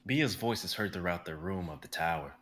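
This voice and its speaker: Bright, brisk young male narrator. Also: deep voice, animated. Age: young adult. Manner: brisk, vivid scene narration with occasional stumbles. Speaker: narrator reading stage directions. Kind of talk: live dramatic reading of a script.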